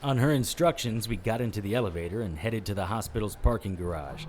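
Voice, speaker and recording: An actor playing a wry, calm, hard-boiled private investigator in a noir audio drama, speaking in a measured, deadpan way. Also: male voice, on the low side, background noise, natural voice. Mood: measured narration. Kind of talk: first-person noir audio drama narration. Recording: music behind the voice.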